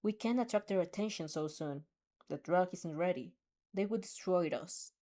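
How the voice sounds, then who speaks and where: A woman voicing a cautious adult mafia underling in a hushed, careful warning tone. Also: lowish voice, normal speed, lightly acted. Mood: wary.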